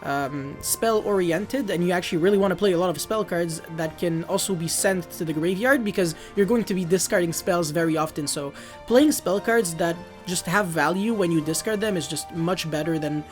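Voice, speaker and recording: A man's voice: sits high, normal speed, faint music behind. A young man whose first language is French, casually walking through a card deck at home, rambling and correcting himself as he goes. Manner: rambling, self-correcting, laughs at own slips. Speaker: card game youtuber. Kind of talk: casual deck profile walkthrough.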